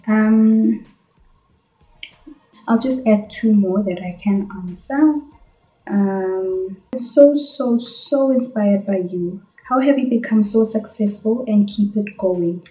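A woman's voice: medium pitch, mellow. Earnest South African woman vlogging, roomy echo. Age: 20s. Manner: earnest, chatty, addresses viewers as guys. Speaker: YouTuber. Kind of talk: casual vlog Q&A. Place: bedroom, indoors. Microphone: camera on tripod in front. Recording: echoey bedroom.

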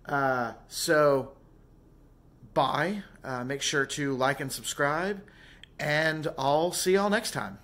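Hoarse, tired man vlogging at night. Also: a little high, slow. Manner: rambling, easygoing, soft-spoken with fillers. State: hoarse and tired after a show. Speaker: vlogger and singer. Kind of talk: casual vlog talk to camera. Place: small home music studio, indoors. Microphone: phone camera at arm's length.

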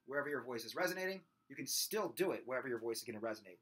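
A man's voice: animated, rapid-fire, a bit bright, husky, low-quality recording. A man in his thirties with a small, nasal, somewhat whiny voice, giving a casual singing lesson to a webcam in a room at home, talking with his hands. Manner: talks with his hands, demonstrates belted high screams. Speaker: vocal coach. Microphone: webcam at desk distance.

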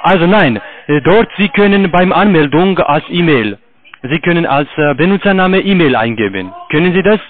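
A call-centre man with a foreign accent talks through a tinny phone connection. His voice sits a little high. He gives step-by-step instructions haltingly, with broken grammar. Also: lively intonation. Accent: foreign accent in German. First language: not German. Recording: narrow, tinny phone line.

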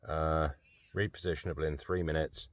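Deep-voiced British man, casual DIY talk. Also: steady tone, normal speed, no echo. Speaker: vlogger demonstrating a repair. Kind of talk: casual running commentary on a DIY job. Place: covered outdoor patio by a hot tub. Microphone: handheld phone camera mic. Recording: faint ambient noise, handheld phone recording.

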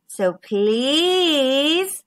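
Greedy male storybook shark played by a woman, menacing and wheedling, unhurried, sharp-edged delivery. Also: high-pitched, expressive, full character voice. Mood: sly, wheedling. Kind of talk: children's storybook character voice.

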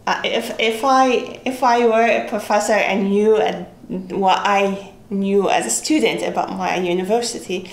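A woman's voice: medium pitch, a little slow, few pauses. A woman from Syria, Arabic her first language, answering interview questions thoughtfully in an office, restarting often. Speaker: interviewee, computer scientist. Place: office with desk, indoors. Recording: roomy office echo, faint hiss.

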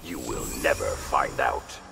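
Very deep, cold warrior. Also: male voice, measured pace, full character voice. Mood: cold disdain. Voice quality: gravelly. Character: cold, grim male warrior. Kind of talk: video game fight banter. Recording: noisy game clip, effects behind.

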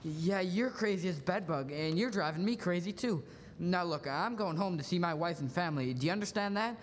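A man's voice: high voice, lively intonation, fairly quick, a little hiss or hum. A young man playing a frantic, bewildered family man who stammers, in a live radio play. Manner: agitated, stammering. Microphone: stand microphone on stage. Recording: reverberant school auditorium stage.

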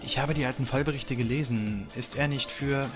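A man's voice: medium pitch, moderate pace, a bit bright, soft background music, close to an everyday voice. A man playing an inquisitive, cautious hero's partner in an audio drama. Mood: surprised, curious. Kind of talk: German fan audio drama acting.

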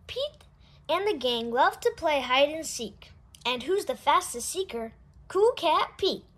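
Very high, animated woman reading children's stories. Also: a little slow. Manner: expressive, sing-song delivery of rhymes. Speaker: storybook reader. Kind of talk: children's read-aloud narration.

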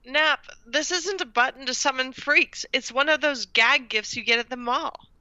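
A woman's voice: high voice, moderate pace, bright, forceful tone, no room sound, lo-fi sound. A woman playing a determined young sister in an audio drama, commanding and direct, over music.

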